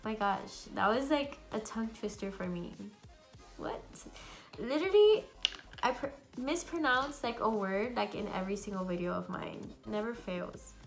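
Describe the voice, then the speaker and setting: Raspy congested young woman vlogger. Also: medium pitch, animated, moderate pace, faint music behind. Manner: chatty and bubbly, many fillers. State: hoarse and congested from a cold. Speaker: beauty vlogger. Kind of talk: casual makeup tutorial chat. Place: at home.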